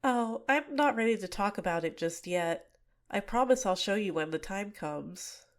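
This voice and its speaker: Soft-spoken young villainess, manipulative, close-mic ASMR; a woman voices her. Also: medium pitch, expressive, moderate pace, natural voice. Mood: shy, apologetic. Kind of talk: ASMR roleplay.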